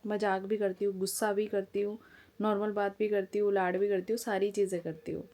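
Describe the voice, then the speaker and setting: A woman's voice: medium pitch, little pitch movement, brisk, warm tone. A woman in her forties from India, chatting frankly and casually to camera at home as a family vlogger. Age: 40s. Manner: frank, chatty, talks plainly and openly.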